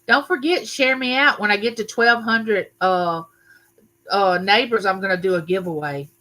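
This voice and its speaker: An older woman, hosting a casual live chat from her home, talking loudly and chattily and greeting viewers by name. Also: medium pitch, moderate pace, poor audio. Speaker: livestream host. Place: home room used for filming.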